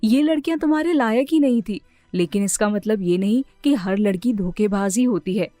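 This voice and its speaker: A woman voicing a kind young female friend in an animated story, earnest and reassuring. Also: mid-range voice, moderate pace, no echo, faint music behind, lightly acted. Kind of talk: animated moral story dialogue.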